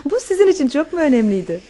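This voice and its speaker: A woman playing a genteel, expressive countess in a radio theater romance. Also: sits high, fairly quick. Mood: charmed, polite. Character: refined countess, affectionate, anxious, in a wartime romance.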